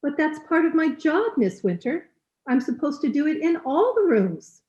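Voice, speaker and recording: A woman's voice: high-pitched, sing-song, moderate pace, a little mellow, muffled recording. A woman playing a meek, apologetic maid in an old-time radio drama, with a deferential, protesting manner. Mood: innocent, defensive. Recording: noisy remote call with clicks.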